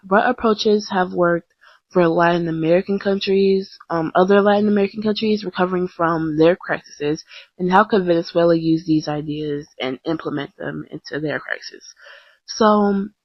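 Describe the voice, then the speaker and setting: Husky young woman, casual and chatty. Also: lowish voice, no echo. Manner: chatty, self-deprecating, many ums and restarts. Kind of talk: casual podcast-style talk. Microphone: close mic, blank screen. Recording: dull lo-fi home recording.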